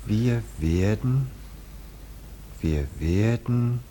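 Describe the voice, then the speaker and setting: A dreamy lover from a 1950s radio play. His low voice is soft-edged and mellow, and he places his words with extreme slowness and halting care. The old recording carries noise behind him. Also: expressive. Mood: tender, deliberate. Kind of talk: 1950s radio drama acting.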